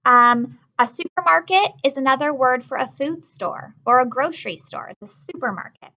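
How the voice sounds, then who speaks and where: A woman's voice: high-pitched, lively intonation, moderate pace, sharp tone, lo-fi sound. A woman teacher giving a read-aloud lesson for children, explaining words simply and repeating key points.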